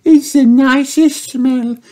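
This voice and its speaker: Squeaky little kid animal for a storybook, eager, drags words out, noisy background. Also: male voice, fairly even intonation. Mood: delighted. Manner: exclamatory, childlike, drawn-out.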